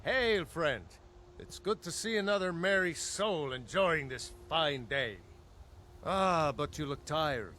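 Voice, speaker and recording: A man's voice: a little high, lively intonation, slow, pushed and bright, a little hiss or hum, exaggerated voice. A man voicing a jovial, florid mead-loving reveler in a fantasy video game, with a hearty, theatrical delivery. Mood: merry, boastful. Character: jovial, hearty male tavern reveler, poetic mead lover. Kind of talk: video game character dialogue.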